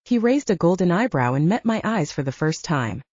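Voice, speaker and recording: A woman's voice: fairly low pitch, very animated, normal speed, darkish tone, slightly rough, no room sound. Dry, muffled woman narrating fiction expressively. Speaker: audiobook narrator. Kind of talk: scripted first-person fiction narration with acted dialogue.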